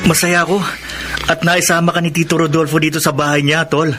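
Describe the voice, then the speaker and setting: A man's voice: a little high, level tone, fairly quick, over loud music, close to an everyday voice. An actor playing an earnest, grateful young Filipino man in a radio drama. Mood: grateful, shy. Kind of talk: Tagalog radio drama acting. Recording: noisy ambience behind the voice.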